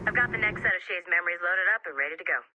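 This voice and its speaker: A brash young techie acted by a man talks fast in a high voice. He is snarky and breezy, a video game coworker heard through dull, muffled sound. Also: no room sound, close to an everyday voice.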